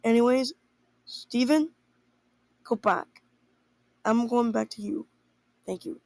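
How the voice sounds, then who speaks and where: Young teen, creaky voice, dry close sound, amateur announcement. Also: mid-range voice, slow, dry recording.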